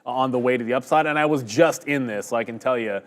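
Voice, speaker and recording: A man's voice: mid-range voice, quick pace. A man on a live trading stream, walking through his chart calls in a loose, rambling way. He pokes fun at his own mistakes as he goes. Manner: rambling, conversational, self-deprecating. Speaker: day-trading stream host. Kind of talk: live market commentary.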